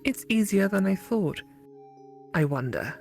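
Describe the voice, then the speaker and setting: A mysterious spirit girlfriend from a visual novel, acted out by a woman. She speaks slowly and deliberately, with a possessive, otherworldly calm. Soft music plays faintly behind her. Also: medium pitch, gravelly. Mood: quietly determined. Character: possessive female phone-dwelling spirit girlfriend, slow and mysterious.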